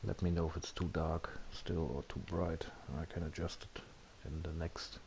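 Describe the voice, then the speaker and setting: A man's voice: very deep voice, little pitch movement, moderate pace, creaky. A man hosting a video game livestream, chatting casually and thinking aloud in a hesitant way. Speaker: live streamer hosting a video game broadcast. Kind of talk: casual gaming livestream chat. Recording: faint hiss behind the voice.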